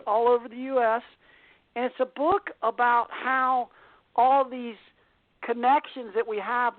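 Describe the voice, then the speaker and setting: Casting: female call-in radio host, higher-pitched voice, casual delivery, narrow phone-line audio. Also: dry recording.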